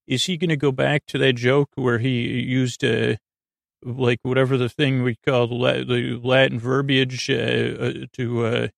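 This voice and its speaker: A man hosting a bedtime podcast in a rambling, hesitant monologue, gently reassuring, stammering and circling back as he goes. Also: mid-range voice, little pitch movement, unhurried, vocal fry, no echo. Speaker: host of a bedtime sleep podcast.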